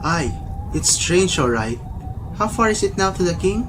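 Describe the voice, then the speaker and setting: A man's voice: high voice, very animated, normal speed, background noise. A man playing a loyal, questioning soldier companion in an amateur radio drama, with an earnest manner. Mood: wary, curious.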